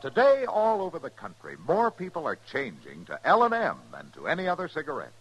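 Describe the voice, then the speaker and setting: A man's voice: on the high side, huge pitch swings, fairly quick, a bit bright, low-quality recording. An American man announcing a vintage radio commercial in an emphatic, upbeat sales delivery. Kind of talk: 1950s radio cigarette ad. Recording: old radio ad with music.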